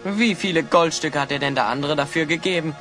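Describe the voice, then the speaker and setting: A man's voice: fairly high pitch, a little fast, sharp tone, soft background music. A man playing a shrewd, confident hero sleuth in an audio drama, speaking in an assured, probing way. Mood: confronting, confident. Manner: assured, probing, clearly enunciated. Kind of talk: German children's audio drama acting.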